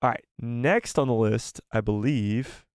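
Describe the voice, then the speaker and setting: A man's voice: mid-range voice, unhurried, dry recording, clean studio sound. A man hosting a live fan stream, giving firm opinions, reading viewer replies aloud, and losing his voice. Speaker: host of a live fan show.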